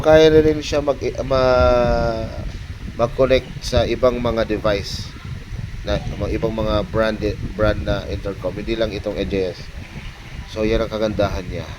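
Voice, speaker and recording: A Filipino man giving a casual, halting vlog-style product show-and-tell, mixing Tagalog and English. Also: mid-range voice, background noise. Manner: halting, code-switching between Tagalog and English. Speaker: motovlogger.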